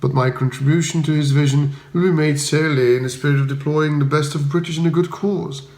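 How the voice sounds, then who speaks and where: A man voicing a shrewd, deferential English valet in a comic audiobook, speaking in a measured, formal and courteous way. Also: on the high side, fairly even intonation, a little mellow, echoey room, lightly acted. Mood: composed, tactful.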